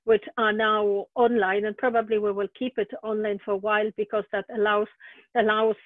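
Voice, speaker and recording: A woman's voice: mid-range voice, flat delivery, a little fast, dry recording. A woman host on a video call, describing events steadily with hesitations. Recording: tinny, phone-like video call audio.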